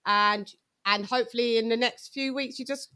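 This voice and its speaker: British female boxing stream host; bright, forceful voice, slangy casual chat, effusive delivery. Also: fairly high pitch, steady tone, moderate pace, clear, resonant tone. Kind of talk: casual live-stream chat with shout-outs.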